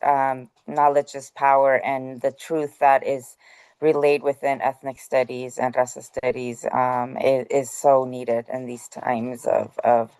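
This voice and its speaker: A woman's voice: a bass voice, level tone, a little slow, a little mellow, smooth, no echo, low-quality recording. A middle-aged woman from the Bay Area, a college counselor, talking as a guest over a call, chatty and laughing often. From San Francisco Bay Area. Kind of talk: casual talk on a call-in show. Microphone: phone or online call.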